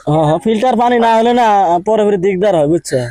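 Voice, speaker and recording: A man's voice: high-pitched, animated, racing, dark tone, no echo. A man with a from Assam, rambling and insistent as he makes a comic prank phone call, often checking that he is understood. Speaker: prank caller.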